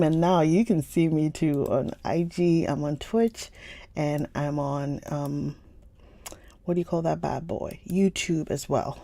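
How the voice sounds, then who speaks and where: A woman's voice: sits low, dark tone, no echo. A young woman from Ghana hosting a livestream book reading, chatting casually and laughing often as she greets viewers by name. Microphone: phone or computer stream mic.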